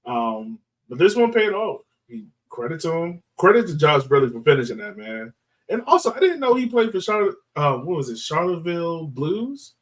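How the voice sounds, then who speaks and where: A man hosting a casual soccer podcast, talking in a halting, hesitant way. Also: fairly high pitch, sing-song, distant mic, low-quality recording. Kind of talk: casual sports chat.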